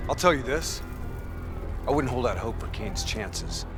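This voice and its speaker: An actor playing a gruff, pessimistic soldier in a video game cutscene, blunt and cynical. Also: male voice, mid-range voice, expressive, unhurried, music in the background, close to an everyday voice. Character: gruff, pessimistic, foul-mouthed male soldier.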